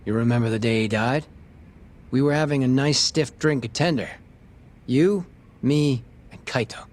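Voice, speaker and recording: A man's voice: mid-range voice, normal speed, a little mellow, lightly acted. An actor playing a shady, sarcastic male police detective with a streetwise manner in an English video game dub. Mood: matter-of-fact, irritated. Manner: sarcastic, streetwise, foul-mouthed.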